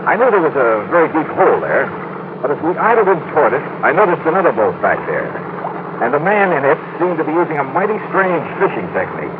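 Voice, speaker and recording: An actor playing a wry, brisk insurance investigator who narrates his own case in an old-time radio drama. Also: on the high side, very animated, background noise. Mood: scene-setting. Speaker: narrator and lead character. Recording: muffled vintage radio recording with music.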